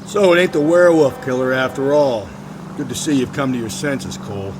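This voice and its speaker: An American man playing a crude, cynical 1940s homicide detective in a crime game, gruff and wisecracking. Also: mid-range voice, fairly quick, noisy background, natural voice. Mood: brash, hard. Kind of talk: period crime game acting.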